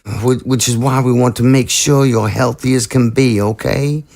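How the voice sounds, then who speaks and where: An actor in an audio drama playing a troubled undead president who is an anxious, tender father, speaking in a halting, earnest way. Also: mid-range voice, steady tone, gravelly, darkish tone, natural voice.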